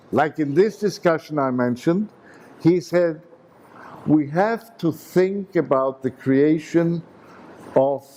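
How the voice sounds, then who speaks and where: A man giving a memorial talk at a seminar, pausing often with fillers. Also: fairly high pitch, a little mellow, a little hiss or hum. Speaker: seminar speaker. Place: conference hall.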